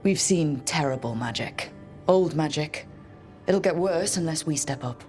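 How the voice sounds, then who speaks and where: An actress playing a calm, resolute adventurer heroine in a video game, speaking in a direct way. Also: female voice, deep voice, measured pace, faint music behind. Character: resolute female adventurer hero, calm and compassionate.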